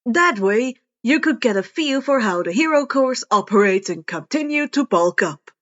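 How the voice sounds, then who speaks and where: A woman's voice: on the high side, animated, moderate pace, a bit bright, lo-fi sound. A woman voicing an upbeat, earnest adult male hero teacher who explains things emphatically. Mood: encouraging, earnest.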